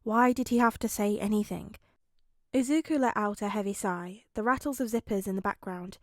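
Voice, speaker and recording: A woman's voice: mid-range voice, a little fast, dry recording, studio quality. A woman reading a scripted story aloud as an audiobook narrator. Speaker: audiobook narrator of fan fiction.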